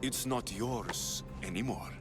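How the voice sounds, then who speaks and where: An actor playing a confident, wry mercenary warrior hero, blunt and dry, in a video game cutscene. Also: male voice, mid-range voice, slow, music in the background, natural voice. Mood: defiant, dry.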